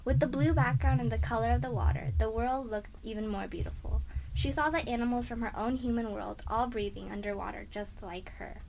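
A woman's voice: a little high, fairly quick, creaky, darkish tone, low-quality recording. A woman reading scripted narration for a children's story as the narrator. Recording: faint background noise.

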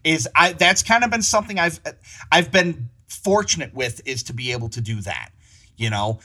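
Man, podcast host, husky, rambling, restarts a lot, casual chat. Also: fairly high pitch, animated, normal speed, sharp tone. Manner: rambling, with many restarts and laughs.

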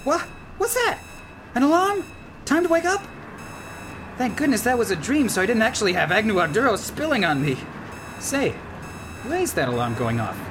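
Man acting an excitable band leader, swooping pitch. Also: normal speed, pauses often, slight background noise, full character voice. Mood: bewildered, annoyed. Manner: theatrical, exclamatory, talks to himself. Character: earnest, excitable, sweetly fussy male band leader. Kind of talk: comedic radio play acting.

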